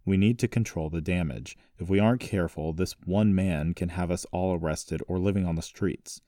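A man's voice: sits low, normal speed, dark tone, studio quality, natural voice. A man voicing a stern, protective grandfather, grave and commanding, in audiobook dialogue. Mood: grave, firm. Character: stern, protective, secretive grandfather, wealthy family patriarch. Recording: roomy echo, distant mic.

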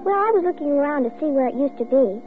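A young female actor playing an excitable, chatty young girl telling her story in 1950s radio drama style, earnest and childlike. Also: high voice, fast. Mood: earnest, eager. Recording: muffled old radio broadcast, loud music.

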